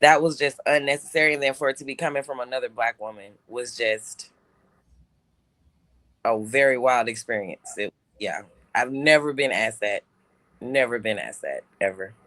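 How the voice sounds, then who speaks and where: A man's voice: medium pitch, moderate pace, pushed and bright, no room sound, low-quality recording. A man co-hosting a casual talk show, telling a personal story with lots of restarts and fillers. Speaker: show co-host. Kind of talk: casual podcast chat.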